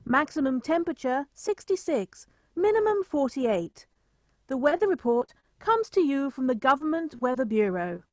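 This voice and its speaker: A woman's voice: sits high, big pitch swings, moderate pace, no room sound. A woman playing a formal, matter-of-fact 1930s radio announcer in a scripted drama.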